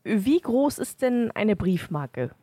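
A woman playing a naive, timid post office customer who speaks awkwardly and haltingly in an amateur audio drama. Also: mid-range voice, sing-song, warm tone, no room sound.

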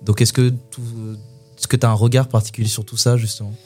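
A man's voice: medium pitch, little pitch movement, fast talker, darkish tone, faint music behind. A man hosting a poetry podcast, asking questions while searching for his words. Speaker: podcast host. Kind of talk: interview questions.

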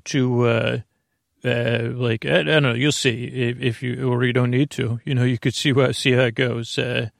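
Guy rambling a sleepy podcast intro, gravelly creaky voice, halting and muttery, really dry close sound. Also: mid-range voice, no echo. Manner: meandering, halting, muttering delivery. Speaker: podcast host.

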